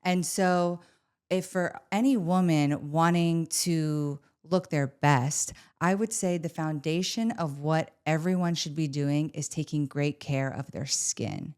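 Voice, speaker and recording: A woman's voice: low voice, slow, darkish tone, smooth. A woman in her forties, a guest on a podcast, upbeat and emphatic, laughing easily. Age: 40s. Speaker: guest, beauty practice director. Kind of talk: casual interview chat.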